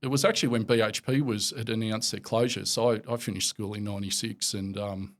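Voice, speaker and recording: A man in his forties with an Australian accent, speaking a little low in pitch. He talks loosely and casually, circling back and restarting his thoughts as he chats on a podcast. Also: fairly quick. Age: 40s. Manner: relaxed, rambling, many fillers and restarts. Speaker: podcast guest, company executive. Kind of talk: casual interview chat.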